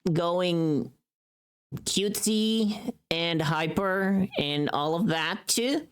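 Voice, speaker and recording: Casting: mid-pitched livestreamer voice, chatty and informal, thinking aloud during a casual work stream. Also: very slow, clear, resonant tone. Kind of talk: casual livestream chat while working.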